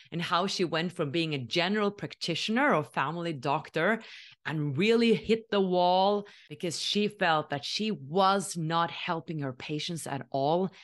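Chatty woman from Norway, low voice. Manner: enthusiastic, chatty, many "like"s, laughs. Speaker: podcast host and interviewer. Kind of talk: casual podcast intro monologue.

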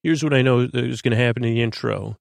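A man with a creaky voice hosting a bedtime podcast, rambling in a halting, meandering way with frequent stutters. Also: medium pitch, dry recording, clean studio sound. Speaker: podcast host.

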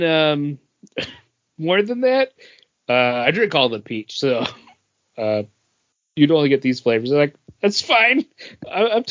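A man co-hosting a podcast, telling a casual anecdote in an amused, halting way. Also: a little high, fairly bright tone, highs cut off. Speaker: podcast co-host.

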